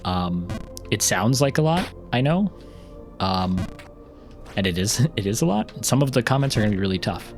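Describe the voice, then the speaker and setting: A man's voice: fairly low pitch, sing-song, normal speed, faint music behind. A man chatting casually while playing a game, rambling with frequent ums and restarts and occasional laughs. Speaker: gaming YouTuber and novelist.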